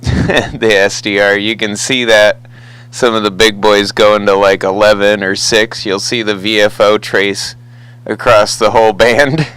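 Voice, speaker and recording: Man, American accent, smooth clear tone, slightly low, folksy hobbyist, casual CB chat. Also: steady tone, a little slow, fairly bright tone, rough recording. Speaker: amateur radio hobbyist. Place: two-way CB radio conversation.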